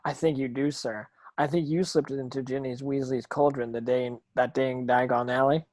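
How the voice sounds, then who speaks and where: A man's voice: medium pitch, fairly even intonation, fairly quick, a little mellow, lightly acted. A man playing a brave, polite, determined schoolboy in a drama read-through, speaking earnestly. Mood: accusing.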